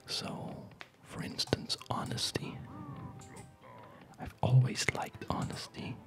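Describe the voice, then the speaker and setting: A man whispering in a hesitant, halting, reflective way, as in a rambling ASMR video. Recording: music behind the whisper. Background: music.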